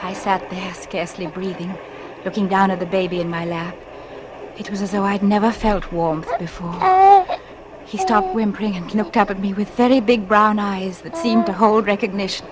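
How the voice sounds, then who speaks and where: A woman's voice: medium pitch, moderate pace, noisy background, close to an everyday voice. An actress playing a lonely young married woman, narrating a confession with intense feeling in 1940s radio style. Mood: tense, confessional. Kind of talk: 1940s radio acting, dramatic first-person narration.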